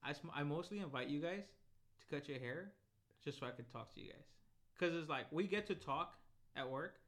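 Young man, twenties, relaxed slangy podcast chat, rambling restarts. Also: fairly high pitch, brisk. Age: 20s. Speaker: podcast co-host. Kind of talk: casual chat.

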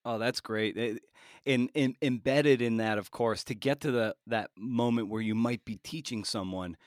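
A man hosting a podcast interview who asks thoughtful questions, often halting and restarting as he speaks. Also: mid-range voice, no room sound, studio quality. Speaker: podcast host. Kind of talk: conversational interview.

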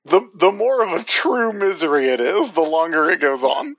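A man's voice: fairly high pitch, big pitch swings, normal speed, a bit bright, dry recording, low-quality recording. A man in his forties co-hosting a podcast, joking and self-deprecating in casual chat. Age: 40s. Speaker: podcast co-host.

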